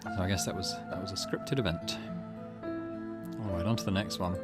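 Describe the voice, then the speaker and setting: A man's voice: low voice, steady tone, moderate pace, loud music behind the voice. A man doing let's play narration, reading game text aloud and muttering his own reactions between passages. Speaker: gaming video host.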